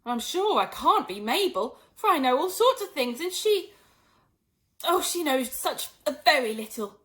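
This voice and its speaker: Woman playing a fretful, high-voiced young girl. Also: very animated, normal speed, fairly bright tone. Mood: puzzled, anxious. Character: fretful young girl, muddled, self-scolding, earnest.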